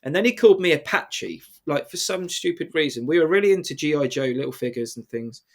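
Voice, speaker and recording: A man's voice: on the high side, animated, fairly quick. A British man chatting casually as a podcast guest, telling self-deprecating anecdotes in an informal way. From Britain. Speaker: podcast guest, professional mountain biker. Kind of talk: casual interview chat.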